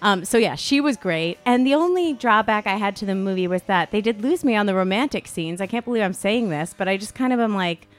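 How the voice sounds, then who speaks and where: Casual woman podcast co-host, mid-pitched. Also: expressive, brisk, few pauses. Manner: conversational, peppered with "like". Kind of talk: casual chat.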